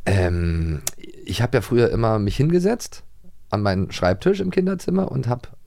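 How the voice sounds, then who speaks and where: Low, creaky, animated middle-aged man. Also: moderate pace, no echo. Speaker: podcast co-host. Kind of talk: casual chat.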